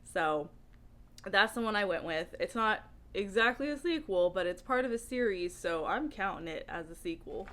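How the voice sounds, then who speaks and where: A woman talking casually to camera as a book vlogger, with an animated, emphatic way of speaking. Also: mid-range voice, moderate pace.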